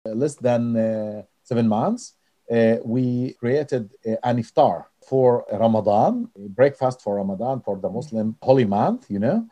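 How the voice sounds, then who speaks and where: A middle-aged or older man from Israel with a Middle Eastern accent, a non-native English speaker answering interview questions earnestly and haltingly. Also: medium pitch, warm tone, highs cut off. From Nazareth, Israel. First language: not English. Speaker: interview guest, director of a peace organization.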